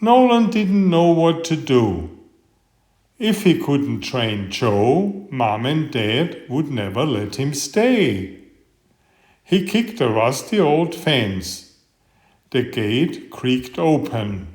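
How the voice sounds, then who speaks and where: Guy reading a bedtime picture book, slow and really up and down, kinda echoey. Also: mid-range voice, sing-song, a little mellow. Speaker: storybook reader. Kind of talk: read-aloud children's bedtime story narration.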